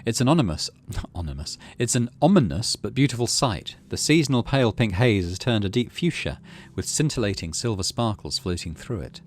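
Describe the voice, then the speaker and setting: A man's voice: lowish voice, animated, fairly quick, hardly any pauses, a little hiss or hum. A man doing let's play commentary, reading story text aloud and muttering through his decisions as he thinks aloud. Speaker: game streamer, let's play host.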